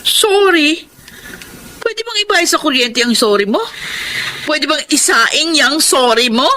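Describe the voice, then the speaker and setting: A woman's voice: high-pitched, expressive, slow pace. A woman playing a bitter, scolding older sister in a drama, sarcastic and belittling. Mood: angry, mocking. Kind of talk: emotional Filipino audio drama acting.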